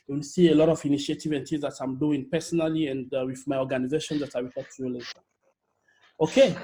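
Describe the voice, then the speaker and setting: A young man from Togo with a French accent, a native French speaker giving an energetic online workshop talk as a youth leader, often repeating and restarting words over a video call. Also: fairly high pitch. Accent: Togolese French.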